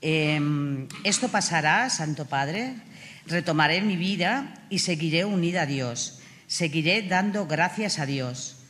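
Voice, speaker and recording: A woman's voice: low voice, expressive, measured pace, a bit bright, slight background noise. A middle-aged woman with a Catalan accent, giving a prepared personal testimony as a prison inmate to an assembly. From Catalonia, Spain. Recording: reverberant large hall, public address.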